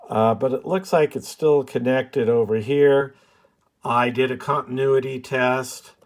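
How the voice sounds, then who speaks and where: A man giving a casual, unscripted show-and-tell as a hobbyist, halting now and then as he thinks aloud. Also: mid-range voice, fairly even intonation. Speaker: hobbyist presenter of a radio repair video.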